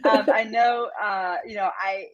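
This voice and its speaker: A woman's voice: mid-range voice, steady tone, measured pace, muffled recording, a crowd in the background. Argentine woman in her fifties, frank. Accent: Argentine Spanish. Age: 50s. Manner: reflective, frank, laughs often, many fillers. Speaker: guest, business owner. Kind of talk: candid conversational interview.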